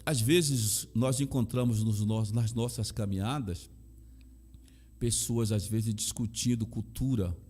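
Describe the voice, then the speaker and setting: A man with a Bahian Brazilian accent talks in an earnest, measured way, leaning on repetition to drive his points home. He speaks as a chaplain sharing his faith and his life story in a relaxed conversation. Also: lowish voice, slightly rough. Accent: Bahian Brazilian Portuguese. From Salvador, Bahia, Brazil. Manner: emphatic, repeats key phrases. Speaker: chaplain and guest.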